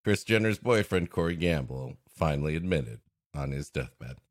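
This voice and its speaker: A man's voice: extremely low pitch, lively intonation, moderate pace, no room sound, clean studio sound. A man co-hosting a comedy podcast with joking, riffing banter. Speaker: podcast co-host.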